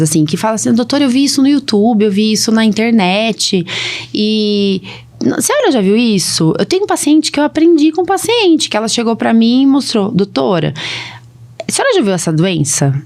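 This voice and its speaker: A woman's voice: on the high side, darkish tone. Chatty Brazilian woman explaining on a podcast. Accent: Brazilian Portuguese. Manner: chatty, explanatory, frequent tag questions seeking agreement. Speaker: podcast host and physician. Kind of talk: casual podcast conversation.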